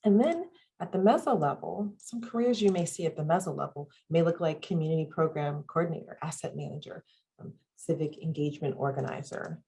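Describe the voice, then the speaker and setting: Low-voiced breathy woman moderating a webinar. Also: a little mellow, low-quality recording. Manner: upbeat, frequent ums, listing careers. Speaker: moderator and faculty presenter. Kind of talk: webinar presentation. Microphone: video call.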